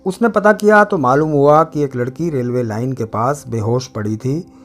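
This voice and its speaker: A man's voice: mid-range voice, moderate pace, faint music behind. A man narrating a literary short story in a scripted, dramatic reading style.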